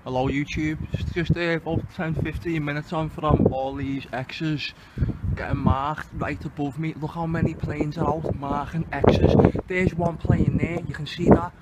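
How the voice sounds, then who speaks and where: A man's voice: on the high side, steady tone, a little slow. A man with a Liverpool accent giving indignant, sweary, exclamatory commentary in a casual vlog he films outdoors. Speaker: video channel host. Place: outdoors under open sky. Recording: very noisy outdoor amateur recording.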